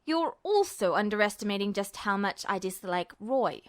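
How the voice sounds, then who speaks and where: A woman's voice: mid-range voice, sing-song, no room sound, studio quality, voice pushed down, natural voice. A woman voicing a cold, sadistic man, a smug villain who taunts and threatens in an audiobook story. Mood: cold, menacing. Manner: taunting, softly drawn-out threats.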